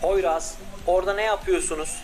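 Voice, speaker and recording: A man voicing a confident male lead scientist who gives orders to his younger brother in a bossy but warm way. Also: high-pitched, steady tone, fairly quick, music in the background, pitched above the performer's own voice, close to an everyday voice. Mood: commanding.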